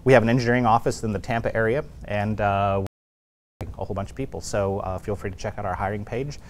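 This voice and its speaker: A man's voice: lowish voice, steady tone, quick pace, slight background noise. A man speaking as a guest at a tech meetup, loose and chatty with lots of fillers, on a clip-on mic in a room with an audience. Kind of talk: casual presentation. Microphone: clip-on lapel mic.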